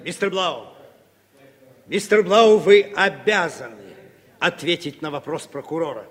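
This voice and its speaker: An actor playing a stern, commanding judge who speaks in an official, emphatic way in an old radio drama. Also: male voice, sits high, animated, measured pace, a bit bright. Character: stern, authoritative male judge presiding over a trial. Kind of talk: Soviet radio drama acting. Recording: 1960s radio, echoing hall, crowd behind.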